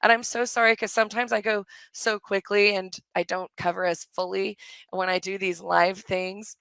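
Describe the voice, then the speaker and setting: Bubbly woman, slightly hoarse, gravelly edge. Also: mid-range voice, level tone, normal speed, a bit bright, no room sound. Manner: chatty, enthusiastic, thanks the chat often. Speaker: course host on a live webinar. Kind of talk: live webinar sales pitch and Q&A.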